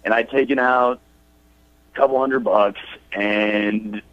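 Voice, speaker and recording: A man's voice: on the low side, steady tone, slow. A man in his late twenties calling a radio show by phone, chatting casually and confessionally. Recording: dull phone call, dry.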